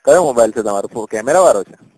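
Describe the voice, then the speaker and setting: A quick-talking man with a Gujarati accent handles a customer helpline call at a medium pitch. He stays patient and deferential, politely placating the caller. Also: lively intonation, noisy background. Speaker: customer care agent. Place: phone call.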